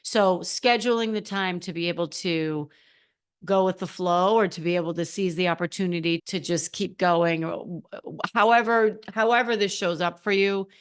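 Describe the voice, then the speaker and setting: Chatty, slightly hoarse woman podcaster. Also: lowish voice, moderate pace. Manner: chatty, animated, addresses listeners directly. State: a little hoarse. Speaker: podcast host, coach. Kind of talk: casual solo podcast talk.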